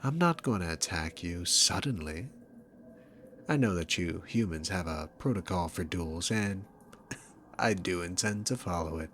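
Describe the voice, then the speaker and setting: A man's voice: low-pitched, lively intonation, unhurried, fairly bright tone, a little hiss or hum. A man voicing a dominant, sarcastic demon king villain in an ASMR roleplay, talking to the listener with mocking, theatrical condescension. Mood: smug, taunting.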